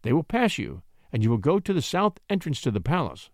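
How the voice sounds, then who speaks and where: A man's voice: fairly high pitch, very animated, fairly quick, dry recording, studio quality. A man reading a storybook, voicing a sly, coaxing countess who pleads breathily. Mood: pleading, urgent. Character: scheming countess, sly, coaxing, feigning innocent distress.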